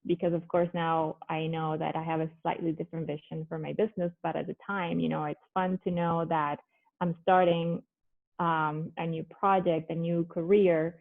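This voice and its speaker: A middle-aged woman from Colombia speaks English with a Colombian Spanish accent, her first language being Spanish. She chats casually with plenty of fillers and restarts, her voice sitting a little low. The audio is thin and phone-like, as over a call line. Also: little pitch movement, moderate pace, creaky. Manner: conversational, many fillers and restarts. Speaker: guest, business owner. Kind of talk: casual video-call conversation.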